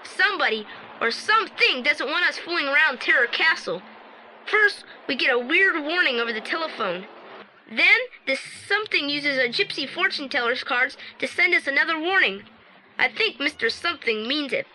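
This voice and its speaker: An excitable, wary boy sleuth in a vintage audio play. His voice is high and very animated, with a blunt, colloquial, grumbling way of talking and slight background noise. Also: moderate pace, exaggerated voice. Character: excitable, wary teenage boy sidekick detective. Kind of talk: 1980s audio drama acting. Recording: slightly noisy old audio play transfer.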